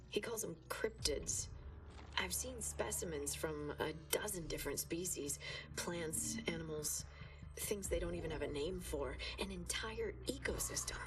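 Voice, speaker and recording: Woman acting a low-voiced, troubled scientist's research log. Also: close to an everyday voice. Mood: uneasy wonder. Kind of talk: scripted audio-log narration. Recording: slightly distant mic under music.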